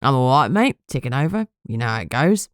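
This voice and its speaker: A grubby ghoul informant, played by a woman, speaks low in a broad Cockney accent. He is cheeky and streetwise, full of slang and endearments, in a dry, close audiobook recording. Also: very animated, a little fast, no room sound. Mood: cheeky, casual. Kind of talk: audiobook character dialogue.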